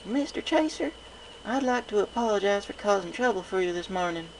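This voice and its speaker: A man acts out an earnest little filly pony, pitched well up from an adult voice. The delivery is emphatic, folksy and childlike, swinging eagerly through a storybook tale. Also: lively intonation, brisk, vocal fry, slight background noise, poor audio.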